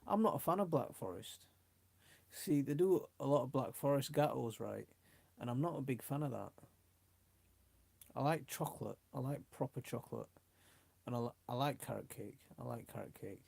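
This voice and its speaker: A man's voice: mid-range voice, lively intonation, moderate pace, vocal fry, long pauses, darkish tone, husky, no echo. A middle-aged man with a Yorkshire accent chatting casually and informally as the host of a live stream, rambling along and reading out comments in a chummy way. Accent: Yorkshire English. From South Yorkshire, England.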